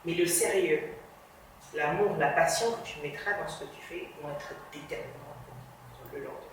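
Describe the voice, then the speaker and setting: An earnest woman speaks as a guest on an interview podcast, reflective and encouraging. Her phrases often fade to a soft close. The sound is heavily reverberant and a little distant, with faint hiss underneath. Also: sits low, normal speed. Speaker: guest, television journalist. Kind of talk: motivational interview talk. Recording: reverberant room, distant mic.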